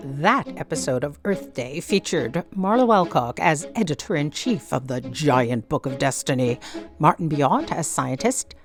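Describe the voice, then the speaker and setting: A woman announcer reads scripted end credits in a low register. Her delivery is animated and varied, and music plays clearly behind her. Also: a little slow.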